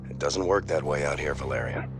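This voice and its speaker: An actor playing a pragmatic, weary fleet officer in a video game, plain-spoken and wry. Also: male voice, extremely low pitch, fairly quick. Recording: voice over game music.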